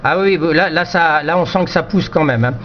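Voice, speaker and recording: Choppy-talking man, slightly high voice. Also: fairly quick. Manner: choppy, repetitive, explanatory. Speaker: amateur radio operator. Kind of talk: casual technical radio chat. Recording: slightly dull, faintly noisy radio chat recording.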